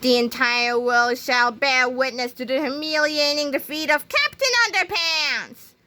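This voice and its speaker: A woman voicing a bombastic, bullying boy supervillain in a children's storybook, pompous and commanding with drawn-out shouts. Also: fairly high pitch, lively intonation. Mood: boastful.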